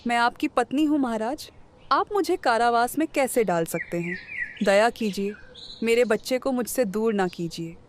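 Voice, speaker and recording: Cartoon queen, played by a woman: devoted mother, slightly high pitch, emotional and pleading, light noise behind. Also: expressive, moderate pace, natural voice. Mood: desperate, pleading. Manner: imploring, emotional. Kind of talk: animated moral story acting.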